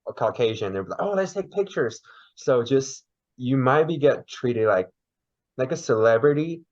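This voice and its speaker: A man from China with a Mandarin Chinese accent, whose first language is Mandarin, chatting lively as a podcast guest and searching for words. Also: medium pitch, normal speed, muffled recording. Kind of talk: casual interview chat.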